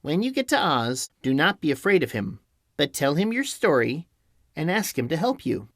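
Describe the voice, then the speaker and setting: A man voicing a kind little old witch in an audiobook, speaking gently and motherly. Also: on the high side, big pitch swings, normal speed, no room sound, studio quality, pitched above the performer's own voice, full character voice. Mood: gentle. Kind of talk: audiobook character voice.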